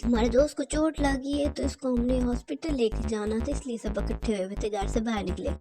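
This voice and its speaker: Woman voicing a high-pitched frightened cartoon child. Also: steady tone, a little fast, over music, natural voice. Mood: nervous. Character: frightened, whining child out lost at night.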